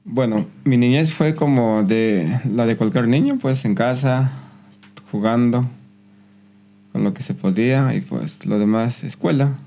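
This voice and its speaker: A man, giving a personal testimony in a soft, reflective way with some hesitant restarts. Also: mid-range voice, a little slow, gravelly. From Peru. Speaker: guest.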